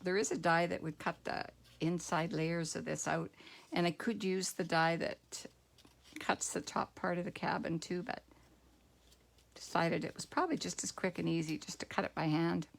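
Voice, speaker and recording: A middle-aged woman with a croaky voice, demonstrating card making at home and thinking aloud in a relaxed, rambling way. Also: lowish voice, moderate pace. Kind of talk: casual craft tutorial.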